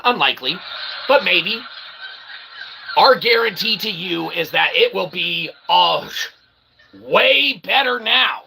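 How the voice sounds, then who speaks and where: A man hosting a rambling livestream, speaking in a wordy, mock-grandiose, self-deprecating way with a gravelly voice. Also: high voice, sharp tone, low-quality recording. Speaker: livestream host. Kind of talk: rambling livestream monologue to chat. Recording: noticeable background noise.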